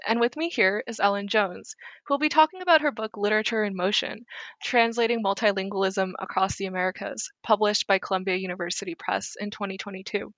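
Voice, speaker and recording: Woman host, dry close sound, mid pitch, polished scripted intro. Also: a bit bright, no echo. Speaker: podcast host. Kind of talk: scripted introduction and interview questions.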